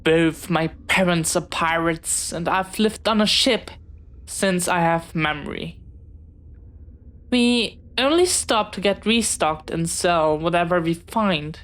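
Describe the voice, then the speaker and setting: A woman acting in a scripted audio roleplay as a bookish, dreamy young pirate, jumpy but earnest, speaking haltingly and dramatically straight to the listener. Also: on the low side, fairly bright tone. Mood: confiding. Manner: dramatic, halting, swinging from theatrical threats to sheepish chatter.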